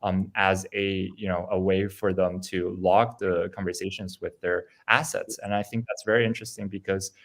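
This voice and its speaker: Deep gravelly man on a video call. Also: level tone. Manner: loose, halting, with many ums and restarts. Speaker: game studio presenter. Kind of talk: casual online presentation.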